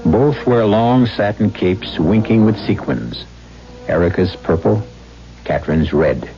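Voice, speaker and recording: A man's voice: on the low side, measured pace. A man narrating a 1970s radio drama in a grave, suspenseful scripted style. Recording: muffled vintage radio broadcast. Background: music.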